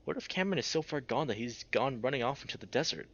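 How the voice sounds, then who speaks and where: A man's voice: mid-range voice, expressive, quick pace, lo-fi sound. A man narrating a visual novel in a dramatic, immersed storytelling way, as a player reading aloud.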